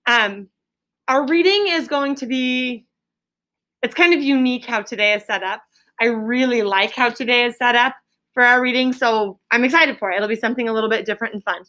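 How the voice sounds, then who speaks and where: Bright-voiced woman teaching schoolchildren. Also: on the high side, a little fast. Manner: explanatory, repeats key words and phrases, prompts pupils with questions. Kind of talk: classroom lesson to children, teaching vocabulary and reading. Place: classroom.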